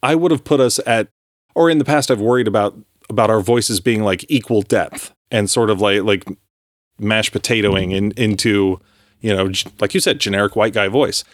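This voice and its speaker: A man with a low-pitched voice chats loosely on a podcast, circling back and restarting his sentences and swearing offhandedly. The sound is dry and close to the mic, with no room echo. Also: normal speed. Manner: rambling, many restarts, swears casually. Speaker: podcast co-host. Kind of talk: casual chat.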